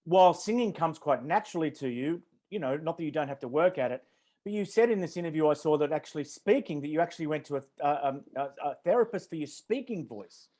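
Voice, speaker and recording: Aussie guy hosting, bit higher voice, lots of ums and stumbles. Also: animated, fast talker, warm tone. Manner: hesitant, many ums and restarts, chuckles. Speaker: interview host. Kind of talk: casual interview, rambling questions.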